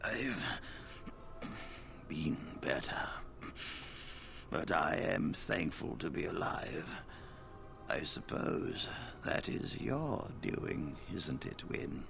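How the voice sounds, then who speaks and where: Brief: elderly mage leader, weary and dignified, very deep, slow and gravelly, with music underneath. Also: male voice, big pitch swings, exaggerated voice. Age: older. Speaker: video game character. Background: game music.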